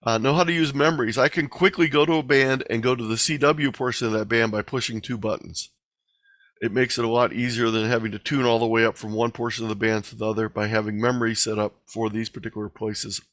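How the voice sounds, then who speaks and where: A man presents an instructional online talk in a medium-pitched voice. He explains things plainly, one step after another, as if guiding learners through slides. The video-call sound is dry, with very little room around the voice. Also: steady tone, fast talker, a bit bright, dry recording. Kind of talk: explanatory slide lecture, lightly extemporized. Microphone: video call microphone.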